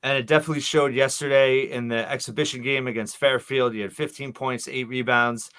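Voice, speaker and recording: A man hosting a sports podcast, asking questions in a conversational, measured and somewhat halting way. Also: medium pitch, steady tone, fairly bright tone, clean tone. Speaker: podcast host.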